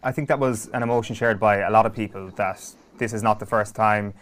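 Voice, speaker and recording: Low-pitched Irish male interviewer. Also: fairly even intonation, brisk, a bit bright, slight background noise. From Ireland. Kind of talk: serious conversational interview.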